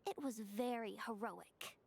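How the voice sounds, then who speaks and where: A woman's voice: fairly high pitch, measured pace, gravelly, breathy edge, dry recording, heavily acted. A woman playing a boastful young girl champion in a video game, smug and showy. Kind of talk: video game character acting. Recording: game dialogue over background music.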